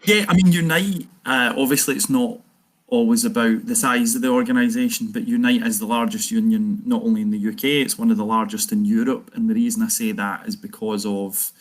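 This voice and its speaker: A man's voice: mid-range voice, fairly even intonation. A man with a Glasgow accent, speaking earnestly as a union organiser on an online panel. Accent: Glaswegian. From Scotland. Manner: earnest, campaigning, quick asides.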